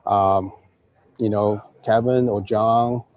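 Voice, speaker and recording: A hoarse man with a raspy voice, hosting a casual live golf chat with easygoing talk full of fillers and restarts. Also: on the low side, steady tone, slow, highs cut off. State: hoarse from days of talking. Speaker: golf club host.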